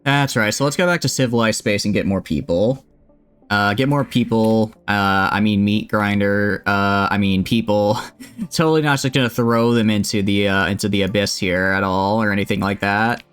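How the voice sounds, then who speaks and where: Chatty low-voiced man. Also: moderate pace, fairly bright tone, clean tone. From United States. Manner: rambling, self-mocking, chatty with viewers. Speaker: streamer. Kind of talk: casual gameplay stream chat.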